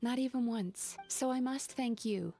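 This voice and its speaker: A woman voicing a gentle, wistful dream-eating psychologist in a video game, speaking in a composed, reflective way. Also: a little high, measured pace, faint music behind. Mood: hopeful. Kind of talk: video game story dialogue.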